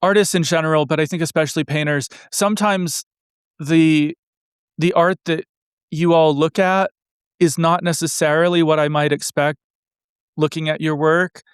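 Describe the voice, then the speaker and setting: A man's voice: on the high side, fairly even intonation, moderate pace, clear, resonant tone, no room sound, studio quality. A man hosting an interview, asking long, meandering questions full of hedges and fillers. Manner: rambling, hedging questions full of you know and like. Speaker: interviewer, show host. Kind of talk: casual interview conversation.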